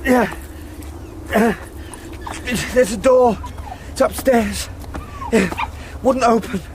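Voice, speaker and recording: Terrified, breathless young man, halting. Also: very high voice, noisy background. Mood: terrified, dazed. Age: young adult. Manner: halting, broken phrases. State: distressed, breathless, near crying. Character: terrified, half-drowned young male solicitor. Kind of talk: radio drama acting.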